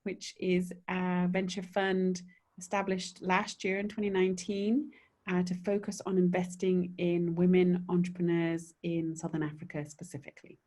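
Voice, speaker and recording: A woman investor introduces herself on an online panel over a video call. She is plain and matter-of-fact. Her voice is a little low and quite flat. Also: moderate pace.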